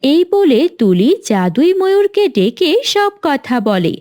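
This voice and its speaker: High-pitched, lively woman storyteller. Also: a little slow. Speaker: narrator. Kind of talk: scripted children's story narration.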